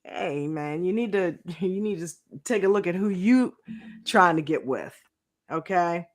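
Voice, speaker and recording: Slangy, animated woman on a livestream. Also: fairly low pitch, unhurried. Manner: animated, slangy, acts out mocking quotes. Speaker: livestream reality-show reviewer. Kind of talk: casual reaction livestream chat.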